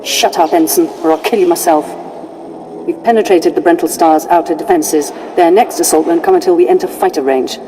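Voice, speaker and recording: An actress playing a tough, disciplined Imperial lieutenant in a video game, brisk and commanding in a military way. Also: female voice, on the low side, over music, close to an everyday voice, poor audio. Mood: sharp orders.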